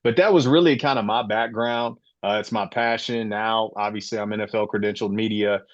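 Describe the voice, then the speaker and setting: A man in his late forties hosts a casual sports podcast and tells personal stories with real passion. His voice sits low. The audio is a bit muffled and dry. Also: fairly quick, no echo. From Florida, USA. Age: 40s. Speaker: podcast host.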